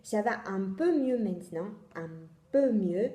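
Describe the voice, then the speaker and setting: A woman's voice: medium pitch, lively intonation, a little slow, warm tone, reverberant. A woman from Italy with an Italian accent, hosting a casual knitting podcast and speaking French with many fillers and restarts. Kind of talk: casual video podcast chat. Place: home in Italy.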